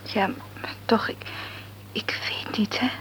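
A woman's voice: slow, in a whisper, rough recording. A shaken young mother. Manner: hushed, breathy, shaken. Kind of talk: radio play acting.

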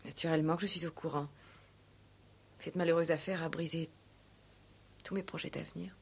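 Casting: reticent schoolmistress, lowish husky voice, formal and wounded, vintage radio drama. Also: female voice, steady tone, normal speed, heavily acted. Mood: bitter, withdrawn. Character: guarded, wounded unmarried village schoolmistress. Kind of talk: 1960s French radio play acting.

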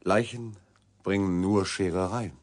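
A man's voice: deep voice, fairly even intonation, a little slow. An actor playing a newspaper reporter in a radio drama, dry and wry, politely but persistently pressing with his questions. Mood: uneasy, joking. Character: probing, dryly wry male newspaper reporter. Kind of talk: German radio drama acting.

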